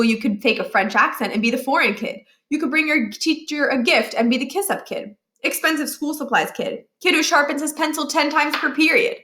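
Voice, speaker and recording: A woman with a slightly high voice reads a children's novel aloud. Her delivery is expressive storytelling, made for young listeners. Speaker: storybook reader.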